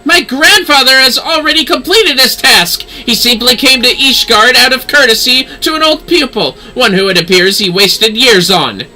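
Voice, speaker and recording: A man's voice: very high voice, expressive, moderate pace, hardly any pauses, pushed and bright, voice pushed up, heavily acted. A man voicing a haughty, stern young noblewoman astrologer from a game, formal and scolding. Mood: disdainful. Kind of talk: game dialogue read-aloud.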